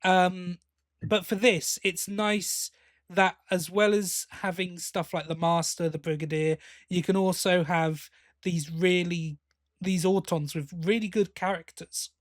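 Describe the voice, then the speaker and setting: A man's voice: high-pitched, fairly even intonation, a little slow, no echo, clean studio sound. A man co-hosting a podcast in casual review chat, often hesitating and correcting himself as he goes. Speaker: podcast co-host.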